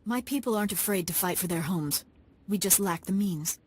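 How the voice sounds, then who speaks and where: The earnest young daughter of an alien matriarch. Her voice is husky and mid-pitched. She speaks politely and with quiet resolve. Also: expressive, fairly quick. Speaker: leader's daughter.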